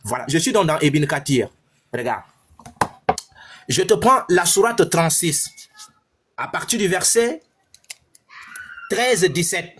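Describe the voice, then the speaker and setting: A high-pitched man with a Cameroonian French accent argues in a heated online debate over a video call. He lectures with emphasis and hammers the same phrases again and again. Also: animated, slow pace, long pauses, background noise.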